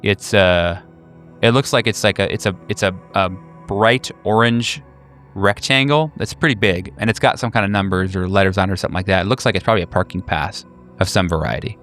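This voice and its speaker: A man's voice: sits low, brisk, fairly bright tone, faint music behind. A man improvising narration as game master, casual and hesitant, rephrasing as he goes.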